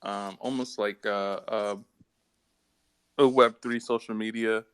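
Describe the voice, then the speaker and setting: Clear, lowish-voiced man, hesitant and casual. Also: steady tone, fairly bright tone. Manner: hesitant, pausing often, slangy. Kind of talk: casual chat.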